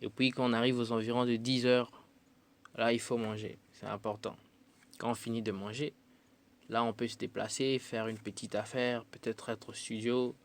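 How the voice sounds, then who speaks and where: A man's voice: mid-range voice, flat delivery, moderate pace. A man, a musician guest on a radio interview who answers thoughtfully and hesitates often. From Democratic Republic of the Congo. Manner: thoughtful, hesitant with frequent fillers.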